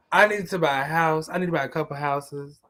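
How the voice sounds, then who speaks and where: A man's voice: a little high, normal speed, fairly bright tone. A man in his thirties, a guest on a livestream chat, answering in a hesitant way that trails off. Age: 30s. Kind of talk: casual chat.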